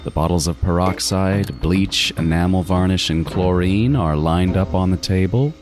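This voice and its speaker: An extremely deep male voice for a cold, obsessive killer, acted by a man, telling his own horror story. He is deliberate and brooding, gloating with quiet menace over clear background music. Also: close to an everyday voice. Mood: cold, methodical. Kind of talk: first-person horror audio drama narration. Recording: music bed under the voice.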